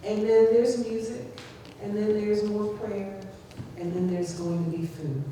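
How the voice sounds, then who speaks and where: A woman hosts a memorial program and speaks very slowly and thoughtfully, pausing often with "um." Her voice is heard from far off in a strongly echoing hall. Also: medium pitch, steady tone, warm tone. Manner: slow and thoughtful, with many "um"s. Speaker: program emcee at a memorial tribute. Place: indoor gathering hall. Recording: echoey hall from far off, faint noise.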